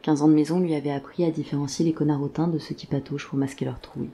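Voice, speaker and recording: A narrator of a scripted audio drama, telling the story in a measured, literary manner. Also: medium pitch, level tone, dark tone, slightly rough. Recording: faint background ambience.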